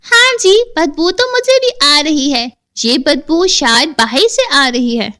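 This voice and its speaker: A woman voicing an indignant, skeptical village housewife in an animated story. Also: high voice, takes it slowly, heavily acted. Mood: puzzled.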